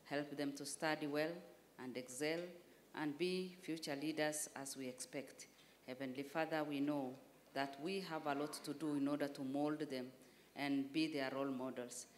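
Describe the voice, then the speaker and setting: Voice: mid-range voice, fairly even intonation, moderate pace. A person leading a formal public prayer in earnest petitioning phrases. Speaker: prayer leader. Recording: faint background noise and ambience.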